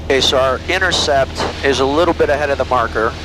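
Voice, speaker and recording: A man's voice: mid-range voice, fast. A middle-aged American man training as a pilot, talking through procedures and making radio calls over an aviation headset. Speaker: student pilot. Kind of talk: cockpit talk and radio calls. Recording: aviation headset audio with engine noise.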